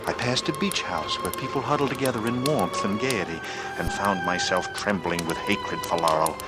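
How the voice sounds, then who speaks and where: A man's voice: low-pitched, normal speed, over loud music, natural voice. An actor playing a brooding, bitter man with murder hidden behind a casual front, in a 1940s radio drama. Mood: bitter, anguished. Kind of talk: 1940s radio drama acting, first-person narration and dialogue. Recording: noisy old radio broadcast recording.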